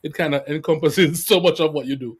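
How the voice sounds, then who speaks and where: A man's voice: on the high side, a little fast, slightly rough. A man hosting a podcast interview in a casual, conversational way, easing into his questions hesitantly and meandering a little.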